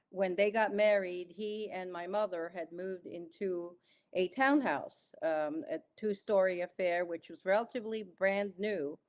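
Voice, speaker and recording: Middle-aged woman from the philippines telling her story on a podcast, lowish voice, lots of um and uh. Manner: measured, thoughtful, frequent "uh" and "um" pauses. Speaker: guest author.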